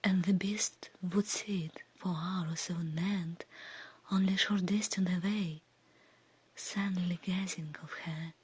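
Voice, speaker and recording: A woman reading a fairy tale as ASMR in a soft, breathy, soothing whisper. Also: lowish voice, fairly even intonation. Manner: slow, hushed, soothing delivery. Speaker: ASMR storyteller.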